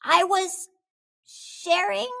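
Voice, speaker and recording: A woman voicing a boisterous young boy shark in a storybook, excitable and drawing out words. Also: very high-pitched, extremely slow, vocal fry, pitched above the performer's own voice, full character voice. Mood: sheepish. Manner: exclaiming, dragging out words.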